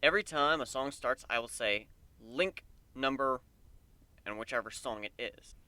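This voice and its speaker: A man's voice: medium pitch, fairly quick, bright, forceful tone, clean tone, no echo. A man giving a dramatic first-person reading of a story as its narrator.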